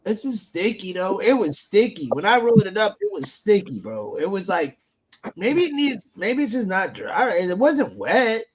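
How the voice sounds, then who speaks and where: A man hosting a live online panel, talking casually with a scratchy sore throat, crude and profane with loud outbursts. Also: high voice, very animated, normal speed, breathy edge. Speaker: livestream host.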